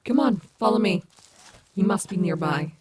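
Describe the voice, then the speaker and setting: A woman's voice: mid-range voice, moderate pace, darkish tone, slightly rough, natural voice. A woman playing a cocky but caring animatronic wolf rock star in an ASMR audio roleplay, teasing and affectionate. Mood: alert, reassuring.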